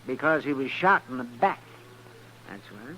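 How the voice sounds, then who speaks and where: An actor playing an outspoken, crusty frontier doctor, testy and sardonic, in a 1950s radio western. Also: male voice, mid-range voice, expressive, normal speed, bright, forceful tone. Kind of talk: 1950s radio drama acting. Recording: noisy vintage radio recording.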